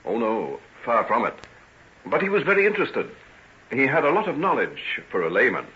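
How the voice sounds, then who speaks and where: Guarded gentleman doctor, swooping intonation. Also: medium pitch, huge pitch swings, normal speed. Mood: guarded, hesitant. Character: guarded Victorian gentleman doctor answering police questions. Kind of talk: old-time radio drama acting. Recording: dull vintage radio recording.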